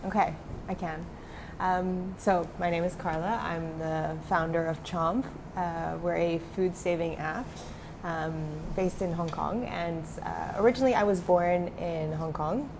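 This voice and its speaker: Young woman founder, low voice, background noise. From Switzerland. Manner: relaxed, many fillers like um and uh. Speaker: startup founder, guest. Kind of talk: casual interview talk.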